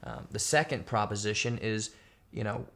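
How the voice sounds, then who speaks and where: A man's voice: on the low side, normal speed, sharp tone. A man answering interview questions methodically, laying out points one by one with many fillers. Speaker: guest, company founder.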